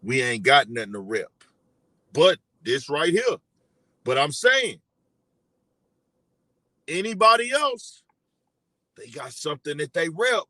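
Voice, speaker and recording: Animated high-voiced man talking to viewers. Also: no room sound. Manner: animated, repetitive, asks audience questions. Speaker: commentary show host. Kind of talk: casual live commentary to viewers.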